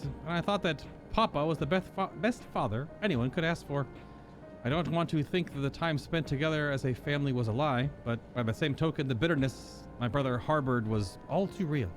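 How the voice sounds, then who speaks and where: A man's voice: a little high, natural voice. A man voicing an earnest, tender young princess as he reads game dialogue aloud. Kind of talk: reading quest dialogue aloud. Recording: game music behind.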